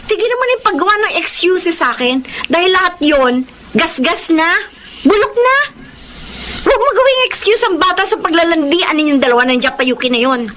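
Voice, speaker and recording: Very high-pitched, jealous Filipina wife. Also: female voice, lively intonation, fairly quick, noisy background. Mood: angry, accusing. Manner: tearful, accusing, emphatic. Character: jealous, hurt wife pleading with her husband. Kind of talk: Filipino radio-style melodrama acting.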